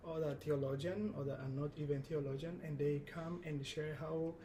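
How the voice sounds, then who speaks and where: A man in his thirties from Angola with a Portuguese accent, a guest speaking in an earnest, measured way, with frequent restarts. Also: fairly high pitch, fairly even intonation, dark tone, a little hiss or hum. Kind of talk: casual conversation.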